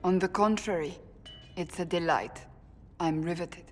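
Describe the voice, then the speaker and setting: Actress doing stern devout warrior lady from a fantasy game, lowish voice, formal and troubled, big echoey hall. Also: natural voice. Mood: dry sarcasm. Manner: grave, formal, deliberate phrasing. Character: stern, devout woman warrior of a holy order, troubled and earnest. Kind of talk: dramatic video game cutscene dialogue. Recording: reverberant hall, faint background noise.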